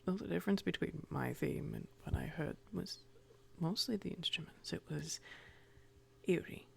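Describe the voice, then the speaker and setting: A soft-spoken, reverent man voiced by a woman in a tabletop roleplay. The voice is breathy and nearly whispered, with a heavy fry. He halts mid-thought as he searches for words. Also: fairly low pitch, heavily acted. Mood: hesitant, awed. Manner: hushed, halting, pausing mid-thought.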